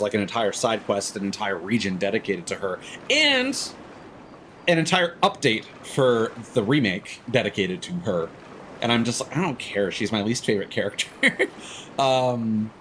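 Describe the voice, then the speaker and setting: A man's voice: mid-range voice, fairly bright tone, slight background noise, low-quality recording. A man hosting a game livestream, giving rambling, opinionated explanations in a casual, chatty way.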